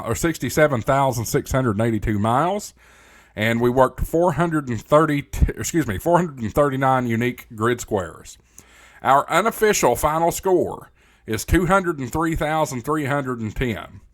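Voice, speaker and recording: A man's voice: mid-range voice, expressive, moderate pace. A man hosting a ham radio channel, talking in a casual, folksy presenter style straight to his viewers.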